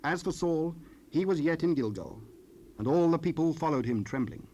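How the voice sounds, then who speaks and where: A man narrating dramatized scripture in a solemn, formal, measured manner. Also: on the high side, expressive, warm tone. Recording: faintly noisy cassette rip.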